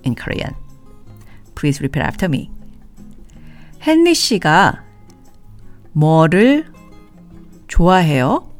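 A woman teaching a language in a scripted podcast lesson, saying model phrases slowly and clearly for listeners to repeat. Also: deep voice, very animated, faint music behind.

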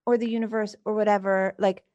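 A woman's voice: medium pitch, flat delivery, fast talker, darkish tone, lo-fi sound. A woman coach leading a live coaching session, reflecting the client's patterns back with probing questions and many "like" fillers.

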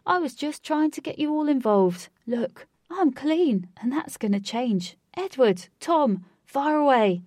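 A woman voicing a laid-back male party organiser who talks loudly and breezily and calls people bro. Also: high-pitched, lively intonation, unhurried, few pauses, no room sound, clean studio sound, pitched above the performer's own voice. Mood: placating.

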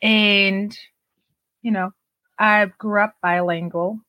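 A woman in her forties who grew up in Germany with Turkish as her first language, talking casually as a podcast guest, chatty with frequent laughs and halting restarts. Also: medium pitch, fairly even intonation, bright, forceful tone. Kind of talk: casual interview talk.

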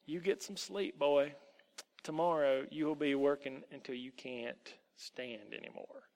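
Storybook villain: nasty old male elf, played by a man, gravelly, sneering, in long reverb. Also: a little high, a little slow, darkish tone. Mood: bossy. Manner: mocking, sneering, bossy.

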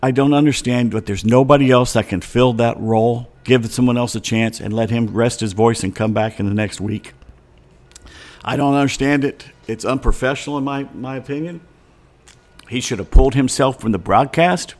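Slightly hoarse, chatty middle-aged man. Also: mid-range voice, moderate pace, darkish tone. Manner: chatty, ranting, many fillers and restarts. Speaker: vlogger. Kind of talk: casual rambling monologue.

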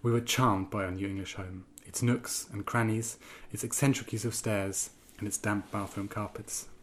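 Man from Germany, somewhat low voice, halting interview answers, steady reading. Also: slight background noise. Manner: hesitant, many ums and restarts when speaking freely. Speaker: guest writer. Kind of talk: interview answers and reading from his own piece.

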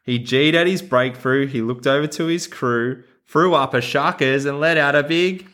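A man's voice: mid-range voice, moderate pace, a bit bright. An Australian man who hosts a podcast, talking casually and reading a children's storybook in a playful, encouraging way. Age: adult. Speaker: podcast host.

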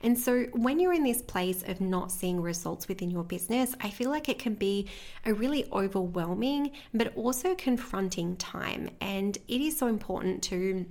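A woman hosting her own podcast as a business coach, speaking in an earnest, motivational way with a slightly croaky voice. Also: mid-range voice. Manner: earnest, motivational, repeats phrases like "within your business" and "right?". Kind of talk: scripted podcast intro then casual monologue.

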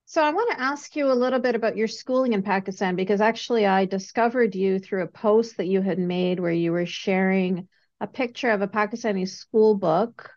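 A woman's voice: mid-range voice, brisk, darkish tone. A woman hosting a podcast interview, chatty and curious, asking long winding questions. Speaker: podcast host. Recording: dull lo-fi audio.

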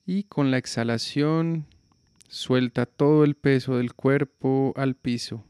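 A man guiding a yoga nidra relaxation with slow, calm, soothing instructions. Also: mid-range voice, clear, resonant tone, no echo. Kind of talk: guided relaxation meditation.

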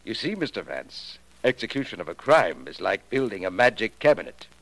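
A man's voice: lowish voice, big pitch swings, brisk, creaky, fairly bright tone, heavily acted, rough recording. An actor playing a proud, taunting craftsman of magic illusions in a 1940s radio detective drama, speaking in a formal, theatrical, boastful way.

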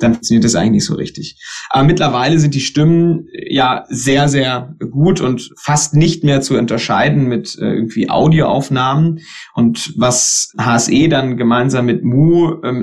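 A man as interview guest, explaining a voice product at length with frequent fillers. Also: mid-range voice. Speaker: guest, tech company expert.

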